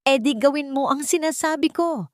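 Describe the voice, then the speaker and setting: A woman's voice: high-pitched, moderate pace, dry recording, pitched above the performer's own voice, natural voice. Scheming older matriarch, played by a woman narrator, audiobook melodrama: commanding, contemptuous, emphatic, dry close sound. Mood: forceful, insistent.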